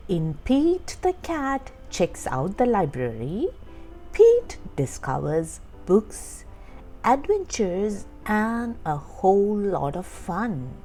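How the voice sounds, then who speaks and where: A woman's voice: mid-range voice, big pitch swings, slow, warm tone. A woman reading a children's storybook aloud, telling it expressively and stressing key words for young listeners. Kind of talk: scripted read-aloud narration for young children. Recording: music under the voice. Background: background music.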